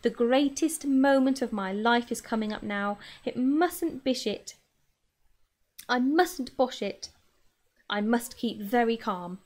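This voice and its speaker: A woman's voice: fairly high pitch, slow pace, a little mellow. A woman voicing a shy, nervous grown man in love, with a timid, fluttery manner. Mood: nervous whisper.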